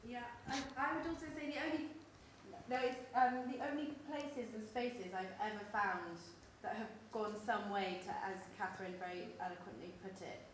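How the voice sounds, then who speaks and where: A woman panelist speaking thoughtfully in a discussion, pausing to search for words. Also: mid-range voice. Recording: very echoey hall, background noise.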